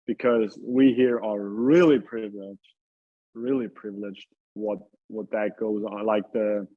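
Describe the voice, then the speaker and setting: A man from Italy chatting casually as an interview guest, hesitant and repeating his phrases, with thin phone-like sound. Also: on the low side. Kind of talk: casual chat.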